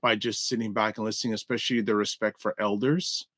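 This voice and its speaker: A man's voice: lowish voice, flat delivery, fast talker, a bit bright, no echo, studio quality. A man co-hosting a casual video-call talk show, upbeat, repeating points for emphasis and reading the sponsor message. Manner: upbeat, repetitive emphasis, announcer-like reads. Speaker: co-host. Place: indoor room.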